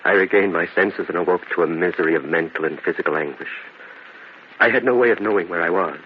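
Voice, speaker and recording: A man's voice: low voice, fast talker, natural voice. An actor playing an earnest, theatrical Italian nobleman in an old-fashioned radio drama. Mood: brooding, wistful. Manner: earnest, theatrical, formal wording. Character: embittered Italian nobleman narrating, once a lovestruck, trusting young man. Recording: muffled, hissy old radio drama recording.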